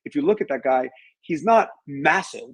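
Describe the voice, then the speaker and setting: Middle aged guy being interviewed, bit of a husky voice, explains stuff with emphasis. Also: on the high side, expressive, moderate pace. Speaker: interview guest, author and martial arts instructor. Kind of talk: conversational interview answers.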